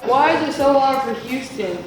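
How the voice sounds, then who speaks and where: A woman's voice: sits high, lively intonation, moderate pace. A woman speaking up casually from an audience with informal, inquisitive questions and comments. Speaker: audience member asking questions. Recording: echoey room, distant mic, loud background noise.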